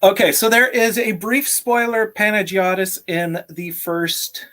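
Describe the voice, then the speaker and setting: A man in his thirties talking casually and haltingly as co-host of a live stream over a video call. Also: sits high, steady tone, sharp tone. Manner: halting, chuckles often. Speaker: author and game creator co-hosting a live stream. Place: indoor room at home, video call.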